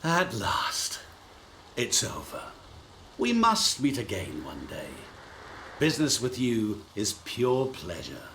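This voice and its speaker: An actor playing a sly devilish bargainer in human guise for a video game, theatrical and mocking with silky politeness. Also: male voice, medium pitch, huge pitch swings, slow pace, gravelly, sharp tone, a little hiss or hum, heavily acted. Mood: satisfied, smug.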